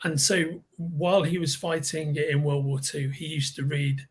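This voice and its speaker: A man in his fifties from with a slightly raspy voice, a podcast guest who rambles and repeats words while he recalls. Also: a little high, fairly even intonation, measured pace. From Liverpool, England. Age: 50s. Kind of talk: casual podcast chat.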